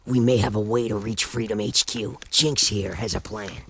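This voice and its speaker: A man's voice: lowish voice, fairly even intonation, moderate pace, natural voice. A man playing a tough resistance commander in a video game, giving clipped military orders. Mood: businesslike, urgent. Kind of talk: dramatic video game acting. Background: music.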